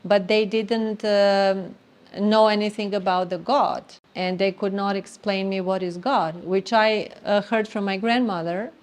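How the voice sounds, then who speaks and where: A middle-aged woman from Serbia with a Serbian accent, telling her spiritual story in an earnest, halting way with many fillers. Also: medium pitch, steady tone. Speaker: interviewee sharing spiritual story. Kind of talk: reflective personal testimony.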